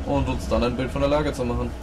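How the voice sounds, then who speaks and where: A man's voice: medium pitch, level tone, quick pace. A man playing a tabletop game, discussing plans in character in a matter-of-fact, casual way. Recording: noisy home table recording.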